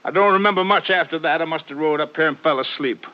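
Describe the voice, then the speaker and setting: An actor playing a hard-of-hearing, befuddled country ranch hand in a 1950s radio drama, folksy and rambling. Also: male voice, fairly high pitch, quick pace, lightly acted. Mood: defensive, foggy. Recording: muffled old radio recording.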